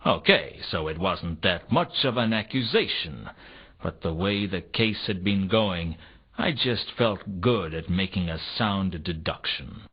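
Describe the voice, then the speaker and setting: A hardboiled detective from a noir video game, world-weary and suspicious. His low voice swings widely in pitch while staying dry and sardonic. The audio is slightly dull, like compressed console game sound. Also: slightly rough, full character voice. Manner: dry, deadpan, wry.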